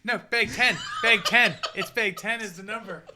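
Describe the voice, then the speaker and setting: A man's voice: high voice, normal speed, noisy background. A man hosting casually at a game table, chatting and calling out rules. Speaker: host learning the game.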